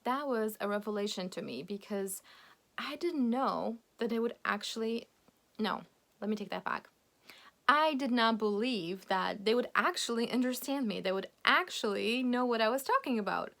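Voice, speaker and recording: A young woman from Poland, a native Polish speaker, coaches English learners in a relaxed lesson to camera. Her voice sits a little high and swings widely in pitch, and she keeps things upbeat and encouraging, laughing easily as she talks. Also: moderate pace, gravelly. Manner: lively, encouraging, laughs often. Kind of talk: casual video lesson to camera.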